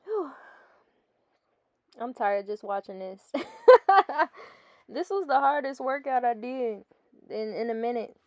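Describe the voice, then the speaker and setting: A woman's voice: fairly high pitch, expressive, slow, long pauses, a little mellow, no echo, studio quality. A young woman with a stuffy nose, chatting casually as a fitness vlogger over her workout footage and often calling her viewers "guys.".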